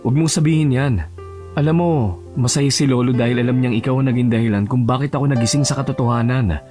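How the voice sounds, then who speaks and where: A man's voice: mid-range voice, steady tone, fast, darkish tone, faint music behind, close to an everyday voice. Man playing a tender young heir. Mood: reassuring. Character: young male lover, rich heir, tender and reassuring. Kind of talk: Tagalog audio drama acting.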